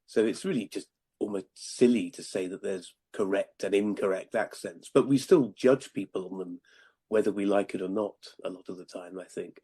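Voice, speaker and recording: A man hosting an interview, asking polite questions and often trailing off softly at the ends of sentences. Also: sits low, normal speed, husky. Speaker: interview host. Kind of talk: podcast interview.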